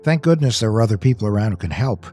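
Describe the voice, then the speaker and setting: A man's voice: on the low side, expressive, fast, dark tone, slightly rough, soft background music. A man co-hosting a podcast, talking through questions and analysis in a conversational way. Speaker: podcast co-host.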